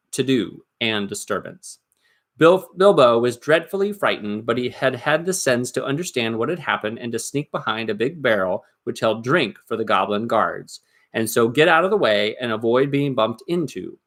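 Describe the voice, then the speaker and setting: A man reading a story aloud as dramatic narration, expressive and suspenseful, with deliberate emphasis. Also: mid-range voice. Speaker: storybook reader.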